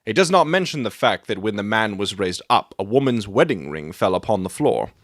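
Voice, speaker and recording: A man playing a self-assured gentleman detective in a dramatic audiobook, theatrical and articulate as he expounds his deductions. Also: lowish voice, very animated, a little fast, dry recording, studio quality. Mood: musing, assured.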